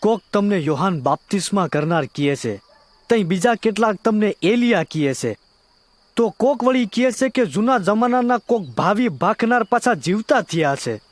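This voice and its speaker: A man's voice: sits high, expressive, moderate pace, no echo. A man with a Kathiyawadi Gujarati accent playing an earnest, deferential disciple in an audio drama. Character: earnest male disciple addressing his master.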